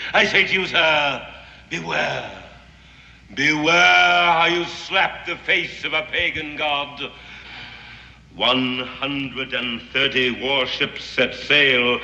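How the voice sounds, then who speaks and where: A man's voice: on the high side, heavily acted. An actor playing a fanatical Englishman devoted to a sun god, telling a curse story in grand theatrical swings. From England. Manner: theatrical, grandiose storytelling, veering from hush to shouting. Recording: roomy, dull old TV soundtrack with reverb and music.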